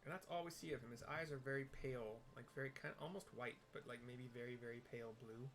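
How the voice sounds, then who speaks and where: A man's voice: mid-range voice, quick pace. A man casually narrates a game for blind viewers, describing what is on screen with frequent ums and restarts. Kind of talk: casual audio description over gameplay.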